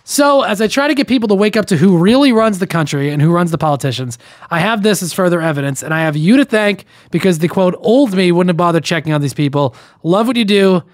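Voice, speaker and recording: Guy on a podcast reading emails out loud, kinda high voice, dry close mic. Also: expressive, quick pace, no echo. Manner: reads emails aloud with running commentary, frequent restarts. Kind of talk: casual podcast chat.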